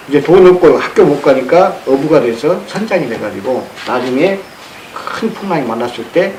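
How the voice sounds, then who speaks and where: A man speaks as an informal lecturer, with a slightly high voice that rises and falls a lot. He is earnest and halting, circling back to repeat phrases. The recording is roomy and echoey. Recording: roomy, echoey with background noise.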